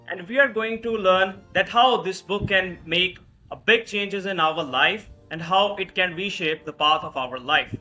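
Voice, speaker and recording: A man's voice: high voice, bright, forceful tone, rough recording. A man hosting a podcast, introducing a guest and asking questions in a formal way with hesitant fillers. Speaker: podcast host.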